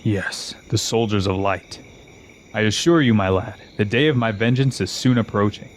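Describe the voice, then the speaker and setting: A man playing a scheming exiled old king in a fantasy audio drama, speaking in a slow, grandiose, coaxing manner. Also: fairly low pitch, a little hiss or hum, close to an everyday voice. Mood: vengeful, grandiose.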